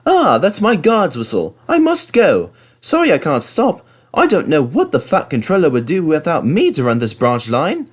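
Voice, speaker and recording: Man as a chirpy, high-voiced little tank engine. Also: huge pitch swings, moderate pace, few pauses. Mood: kindly, important. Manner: chirpy, kindly, self-important. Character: cheerful, self-important little tank engine. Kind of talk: storybook character voice.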